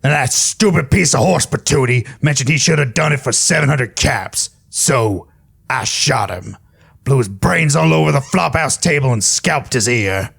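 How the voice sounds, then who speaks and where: Gruff gravelly griffin gunslinger, raspy drawl, stern audio drama tough guy. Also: mid-range voice, expressive, normal speed, a bit bright, exaggerated voice. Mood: grim, unsparing. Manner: drawling folksy tough talk.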